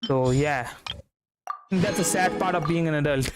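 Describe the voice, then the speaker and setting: Young male streamer, higher voice, rambling casual game commentary over clear background music. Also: a little slow. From India. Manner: rambling, repeats words. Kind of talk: casual gameplay livestream commentary.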